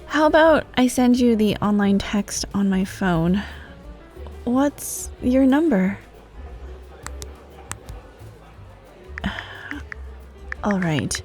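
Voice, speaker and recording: A woman playing a friendly, teasing yet bashful popular student, speaking softly and casually to the listener in a wholesome ASMR roleplay. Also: a little high. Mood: bashful, hesitant. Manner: soft, casual, hesitant, giggly. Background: music, cafe ambience.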